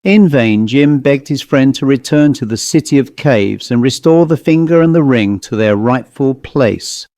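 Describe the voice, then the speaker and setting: A man narrating a scripted story as an audiobook reader, in a measured, deliberate manner. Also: medium pitch, dark tone, dry recording, clean studio sound.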